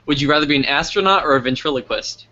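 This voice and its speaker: Guy hosting a laid-back live interview, bright sharp voice, kinda lo-fi audio. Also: on the high side, quick pace. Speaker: interview host.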